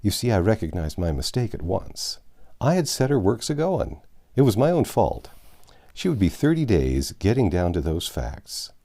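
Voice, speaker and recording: A man reading a classic novel aloud, animated and shifting between the narrator and the characters. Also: on the low side, moderate pace. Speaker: audiobook reader.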